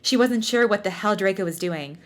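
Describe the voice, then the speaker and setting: A woman's voice: mid-range voice, a little fast. A woman podcast co-host reading fan fiction aloud for comedy, with giggly, playfully theatrical delivery and casual banter.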